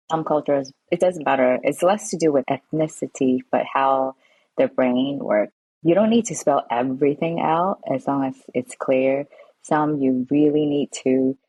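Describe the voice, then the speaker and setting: A low-pitched woman with a slightly husky voice speaks casually as a podcast guest. Her speech is loose and explanatory, full of small fillers. The recording is dry and close, like a video call. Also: darkish tone, dry recording, rough recording. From San Francisco, USA. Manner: explanatory, uses fillers like "kinda" and "like". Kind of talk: casual interview answers. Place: home or office, evening.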